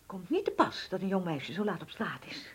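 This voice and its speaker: A woman's voice: on the low side, sing-song, fairly quick, slightly rough, exaggerated voice. An actress playing a stern, prim middle-aged mother who scolds in a radio drama, on a muffled recording. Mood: scolding. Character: stern, disapproving mother of a grown daughter.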